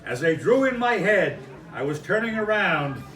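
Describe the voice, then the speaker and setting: Man, high voice, very animated, theatrical storybook verse reading, echoey room. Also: moderate pace. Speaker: fire chief reading to children. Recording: roomy echo, distant microphone.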